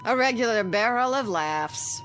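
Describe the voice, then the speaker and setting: An actress playing a wry, sardonic American wife in a vintage television drama. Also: female voice, on the high side, animated, unhurried, fairly bright tone. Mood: sarcastic. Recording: old television soundtrack, faint music behind.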